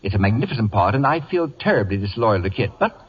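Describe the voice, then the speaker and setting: An actor playing an imperious middle-aged celebrity with acid wit, in theatrical, sardonic 1940s radio comedy style. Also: male voice, fairly low pitch, sing-song, fast, slightly rough, in a large hall. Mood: scheming, brisk. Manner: theatrical, sardonic, mockingly affectionate. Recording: muffled old radio broadcast.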